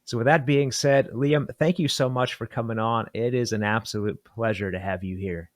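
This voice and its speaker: A man's voice: medium pitch, steady tone, normal speed, dry recording, clean studio sound. A man hosting an interview podcast, delivering intros and questions to his guest. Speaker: podcast host.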